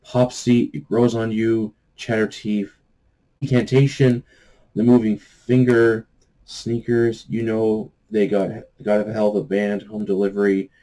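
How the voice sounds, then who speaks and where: A man giving a casual, rambling review to viewers, halting often as he searches for words and trails off. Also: fairly low pitch, little pitch movement, mellow, rough recording. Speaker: book review vlogger. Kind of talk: casual rambling book chat.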